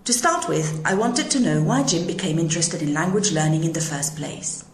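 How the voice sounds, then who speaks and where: A woman hosting an educational podcast, reading her links in a clear, measured way. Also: low-pitched, animated, reverberant, a little hiss or hum. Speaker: podcast host. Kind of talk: scripted podcast narration.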